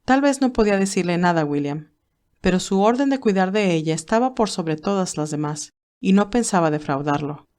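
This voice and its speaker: A woman's voice: fairly low pitch, lively intonation, a little fast, darkish tone. A woman reading scripted story narration for an audiobook.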